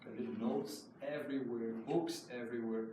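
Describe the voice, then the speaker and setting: A man's voice: mid-range voice, steady tone, a little fast. A man from Switzerland, a native German speaker, speaking as a guest poet at a live poetry reading. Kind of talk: poetry reading with casual asides. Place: indoor live poetry event. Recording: roomy echoing hall, distant mic, noisy.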